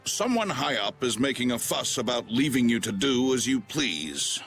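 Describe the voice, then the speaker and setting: Forceful, wildly animated knight officer. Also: male voice, mid-range voice, moderate pace, soft background music. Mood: gruff, firm. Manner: emphatic, barking orders. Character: blustering adult male knight officer, commanding and excitable. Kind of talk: animated video game acting.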